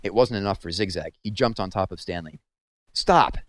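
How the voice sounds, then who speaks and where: Low-voiced man reading a story. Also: fast talker. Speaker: reader of a children's novel aloud. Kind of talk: storybook read-aloud narration.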